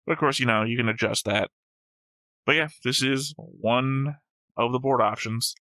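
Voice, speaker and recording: Bright-voiced, slightly gravelly man chatting. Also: mid-range voice, normal speed, no echo. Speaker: reaction show host. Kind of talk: casual discussion of an anime episode.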